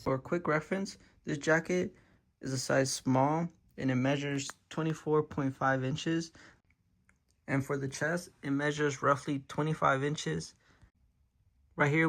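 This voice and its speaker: This is a young man's casual styling vlog voice, raspy because he has been sick. He talks to his viewers in a relaxed, slangy way. His delivery stays flat and even, and a gravelly creak runs through his words. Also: mid-range voice. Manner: laid-back slangy talk to viewers. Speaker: fashion vlogger. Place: at home.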